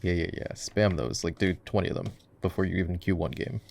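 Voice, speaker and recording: Low, gravelly young-sounding gamer guy. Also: a little fast, darkish tone. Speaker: voice actor playing a gamer boyfriend, coaching a game. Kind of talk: casual ASMR roleplay chat while gaming.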